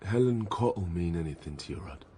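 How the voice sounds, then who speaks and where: Radio drama role: younger detective, fairly low voice, sarcastic and pressing, pushes with short sceptical questions. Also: male voice, lively intonation, a little fast, dark tone, husky. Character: needling, sceptical younger male police detective. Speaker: actor. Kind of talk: naturalistic radio drama acting.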